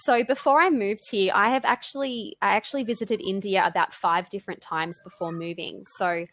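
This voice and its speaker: A woman's voice: mid-range voice, brisk. Chatty Australian woman tutor. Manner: relaxed, chatty, many fillers. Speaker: English tutor. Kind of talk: casual online lesson chat. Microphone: video call.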